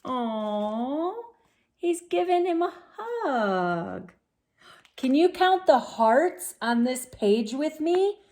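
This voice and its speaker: High-pitched woman reading slowly to children. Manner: expressive, sing-song on refrains, addresses children directly. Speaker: children's storybook reader. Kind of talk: read-aloud storytelling for young children.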